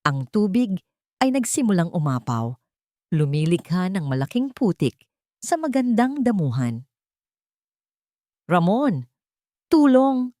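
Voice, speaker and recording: A woman storyteller reading a scripted dramatic story with suspenseful, deliberate phrasing. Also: on the low side, sing-song, warm tone, dry recording.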